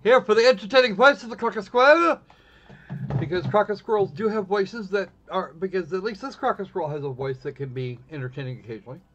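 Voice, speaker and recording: A man with a high-pitched voice chats casually as a livestream host. His delivery is wry and snarky, with halting phrasing. The sound is dull and lo-fi. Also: animated, fairly bright tone, slight background noise. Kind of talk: casual game stream chat.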